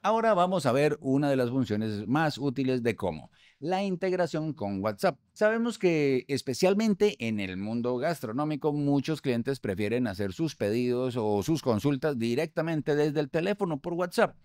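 Upbeat man hosting a software tutorial. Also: mid-range voice, animated, moderate pace, few pauses, no echo, clean studio sound. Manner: upbeat, conversational, with filler hesitations. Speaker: host. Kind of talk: tutorial explaining restaurant software. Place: studio room. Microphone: studio mic on boom arm.

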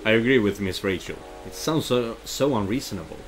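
A man's voice: fairly low pitch, animated, fairly quick, low-quality recording. A middle-aged man playing a game on stream, reading lines aloud and commenting on them. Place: indoors at a desk, headset on. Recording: music behind the voice.

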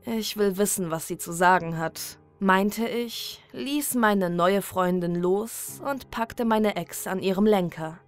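A woman narrates a horror story in the first person. She is dramatic and measured, speaking slowly at a medium pitch over faint music.

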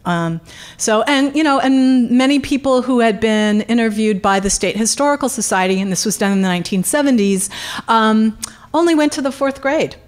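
A middle-aged woman giving a public history lecture at a podium microphone in a conference room, animated, with many fillers and restarts. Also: on the high side, moderate pace. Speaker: guest lecturer. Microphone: podium microphone on stand.

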